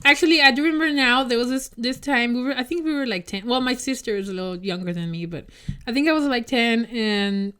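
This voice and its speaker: A young woman whose first language is Spanish chats on a podcast, giggling as she tells her stories. Her voice is smooth and clear, a little higher than most. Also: fast talker, fairly bright tone. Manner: giggly, chatty storyteller. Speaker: podcast co-host. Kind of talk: casual chat.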